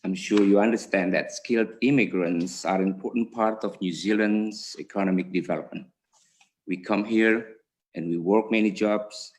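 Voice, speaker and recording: A man's voice: low-pitched, a little mellow, slight background noise. A man from Indonesia with an Indonesian accent, giving a formal submission to a parliamentary committee and reading prepared remarks with small slips. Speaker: community association vice president.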